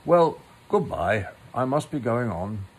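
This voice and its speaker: A man's voice: medium pitch, sing-song, slow, husky, full character voice, rough recording. A man voicing a polite, fussy, evasive storybook rabbit with a prim manner that can turn stern. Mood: politely evasive. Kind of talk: storybook character reading.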